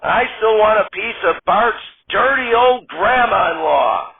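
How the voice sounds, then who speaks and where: A grinning, scheming prankster, as a man puts him on drawls slowly and smugly. The sound is thin and tinny, like over a phone. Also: high voice, lively intonation, gravelly, voice pushed up, full character voice. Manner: slow smug drawl.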